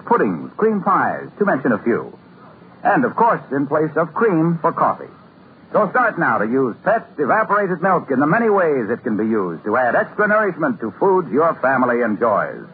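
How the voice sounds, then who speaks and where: A man's voice: a little high, wildly swooping pitch, moderate pace. An American man working as a radio announcer, reading scripted copy in a polished 1950s broadcast style. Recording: tinny old radio, faint noise.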